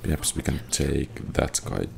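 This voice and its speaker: Gameplay host, male, very low and gravelly, slow and lively in pitch, dry and understated, game music behind. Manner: thinks aloud, dry understatement. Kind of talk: casual commentary over gameplay.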